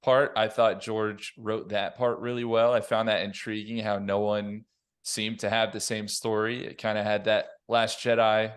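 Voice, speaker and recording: A man's voice: fairly low pitch, little pitch movement, moderate pace, clean tone. A man on a podcast panel giving his opinions in casual conversation.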